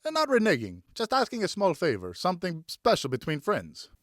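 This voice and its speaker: A man's voice: sits high, huge pitch swings, fairly quick, no room sound, clean studio sound, voice pushed up. A man voicing a persuasive, coaxing vineyard owner in an audiobook, talking like a salesman. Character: persuasive male vineyard owner with gimpy legs. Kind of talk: audiobook character dialogue.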